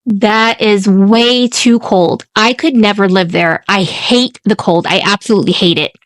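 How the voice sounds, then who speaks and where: A woman's voice: mid-range voice, fairly even intonation, unhurried, no echo. A middle-aged woman co-hosting a casual podcast, effusive and emphatic, often repeating herself for stress. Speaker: podcast co-host reacting to the story.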